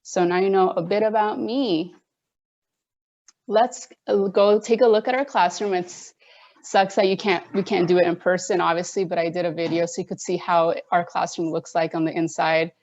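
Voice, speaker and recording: A woman's voice: fairly low pitch, steady tone, moderate pace, a little mellow, highs cut off. A woman in her thirties from Oregon, a teacher, giving a friendly, conversational presentation to parents at a virtual open house. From Oregon, United States. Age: 30s. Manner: friendly, conversational, plainly spoken. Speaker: elementary school teacher.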